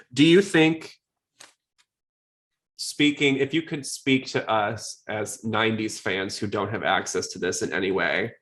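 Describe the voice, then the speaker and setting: A man's voice: fairly low pitch, a bit bright. A man hosting a podcast in casual chat, rambling along in a chatty, jokey way. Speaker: podcast host.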